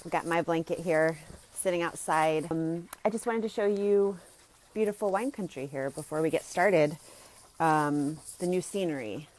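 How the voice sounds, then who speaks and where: A woman speaks in a low-pitched voice. She presents like a health coach on an informal live video, explaining things in a plain-spoken, instructive way. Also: normal speed, a little mellow, poor audio. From Germany and the United States. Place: outdoors, sitting outside.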